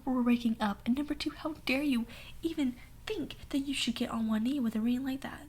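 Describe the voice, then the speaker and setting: A young woman with a hoarse voice telling a sassy, dramatic story in a soft whisper. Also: sits high, slight background noise. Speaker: ASMR storyteller. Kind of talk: whispered ASMR story time.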